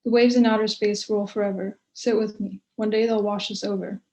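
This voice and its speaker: A woman's voice: mid-range voice, little pitch movement, a little fast, dark tone, low-quality recording. A woman reading a poem aloud in an understated way. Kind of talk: poetry recitation.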